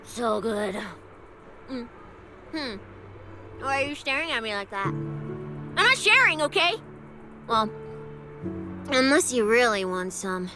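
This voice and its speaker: A woman playing a playful, bossy, easily flustered young police specialist in an anime-style video game, with an expressive, teasing, mock-official delivery. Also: on the high side, slow pace, pauses often, over music. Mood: delighted, playful.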